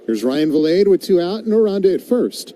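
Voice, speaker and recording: Very mellow-voiced male baseball play-by-play commentator. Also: high voice, very animated, a little fast. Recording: live broadcast with clear background noise.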